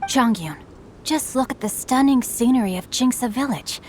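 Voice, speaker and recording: Woman as a refined, highish-voiced bookish boy. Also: animated, moderate pace, a little mellow, a little hiss or hum, lightly acted. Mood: composed, playful. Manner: flowery, composed, articulate. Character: refined, bookish, theatrical teenage boy swordsman. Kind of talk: video game dialogue acting.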